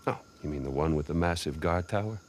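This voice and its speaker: A middle-aged man with an American accent playing a roguish, excitable older-brother treasure hunter in a video game, with a gravelly, teasing delivery. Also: a bass voice, fast talker, a little hiss or hum. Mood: teasing, elated. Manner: teasing, casual, enthusiastic. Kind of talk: video game cutscene acting.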